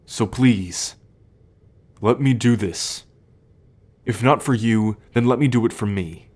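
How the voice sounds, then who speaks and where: A young man playing a troubled, protective male werewolf in an ASMR roleplay, speaking in a measured, halting, confiding way. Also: mid-range voice, a little mellow. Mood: earnest.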